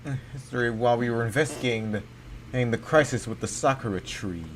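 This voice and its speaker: A man improvising replies as he plays a video game, halting and repeating his phrases. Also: medium pitch, slight background noise. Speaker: game streamer. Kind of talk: improvised reactions talking along with a game. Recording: game music behind the voice.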